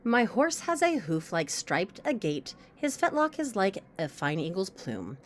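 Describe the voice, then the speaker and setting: A woman reciting a poem aloud with a lilting, expressive delivery. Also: mid-range voice, measured pace, slight background noise.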